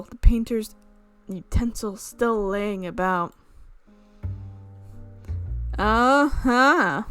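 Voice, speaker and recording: A woman reading visual novel narration aloud as a let's player, with casual reactions of her own. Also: a little high.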